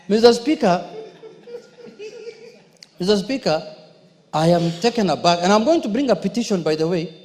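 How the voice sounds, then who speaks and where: Kenyan guy mp, really high voice, indignant debate speech, big echoey hall. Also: a little mellow. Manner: indignant, emphatic, repetitive. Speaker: member of parliament. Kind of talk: impassioned parliamentary debate speech. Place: indoor parliament chamber. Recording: echoey large debating chamber.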